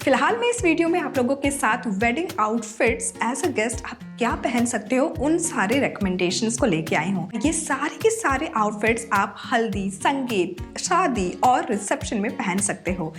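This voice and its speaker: Young woman, high pitched and a touch throaty from a sore throat, breezy haul-style chat over soft music. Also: lively intonation, moderate pace, few pauses. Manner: chatty, mixes English into Hindi. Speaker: fashion vlogger. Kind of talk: casual haul review to camera.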